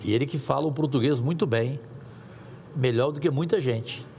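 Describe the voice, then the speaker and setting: A man's voice: medium pitch, moderate pace, darkish tone. A man with a Brazilian accent chairing a formal hearing in a courteous, ceremonious, oratorical manner. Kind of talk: formal debate moderation. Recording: echoing hall, clearly noisy background.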